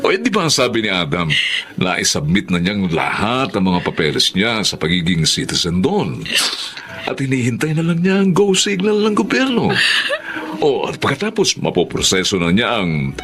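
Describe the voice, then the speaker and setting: A man's voice: lowish voice, huge pitch swings, normal speed, fairly bright tone, faint music behind. A man playing an ambitious, earnest middle-aged father in a Filipino radio drama. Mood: reassuring. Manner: earnest, persuasive. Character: determined, ambitious Filipino father working abroad.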